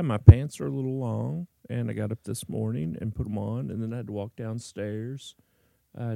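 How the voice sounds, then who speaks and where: A man's voice: lowish voice, gravelly, mellow, no echo. A man hosting a podcast, chatting casually and joking at his own expense. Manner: self-deprecating, joking. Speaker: podcast host. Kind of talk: casual chat.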